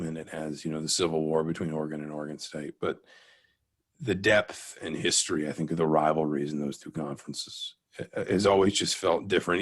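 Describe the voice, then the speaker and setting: A man with a very low American voice speaks as a guest reporter on a sports talk show. He is reflective and careful, frequently restarting a thought to qualify it. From Atlanta, Georgia, US. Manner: reflective, hedging, circles back mid-sentence. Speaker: guest sports reporter, newspaper beat writer. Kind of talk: interview answers, sports talk.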